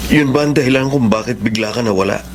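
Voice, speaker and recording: A man's voice: medium pitch, quick pace, lightly acted. An actor playing an earnest, opportunistic young man, pleading his case in a radio drama. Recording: noisy ambient background behind voice.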